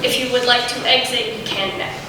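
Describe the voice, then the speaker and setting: A teenage girl performing spoken word poetry with a loud, emphatic delivery, standing back from the microphone. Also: on the high side, steady tone, fairly quick, noisy background. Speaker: teen poet. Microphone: standing away from the mic. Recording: echoey hall from far off.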